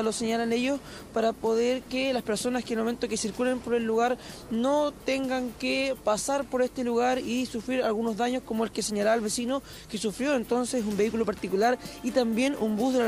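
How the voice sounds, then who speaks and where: High-voiced Chilean street reporter. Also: male voice, darkish tone, slight background noise. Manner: fluent, formal reporting. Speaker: field reporter. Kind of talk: live news report. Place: city street corner outdoors. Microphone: handheld microphone.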